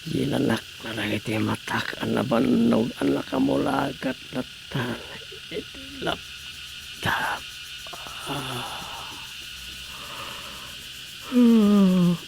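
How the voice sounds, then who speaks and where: Grumbling councilman, creaky voice, slow delivery, skeptical mutters, comedy radio drama. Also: medium pitch, exaggerated voice. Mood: muttering, weary. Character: wry, grumbling village councilman on duty. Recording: clearly noisy radio drama recording.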